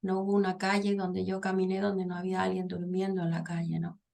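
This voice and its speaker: Middle-aged woman from Argentina, interview guest, gravelly fry, halting and reflective delivery. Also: lowish voice, completely flat delivery, darkish tone. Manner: thoughtful, pausing with many fillers and restarts. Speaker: guest psychologist and author. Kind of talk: conversational interview.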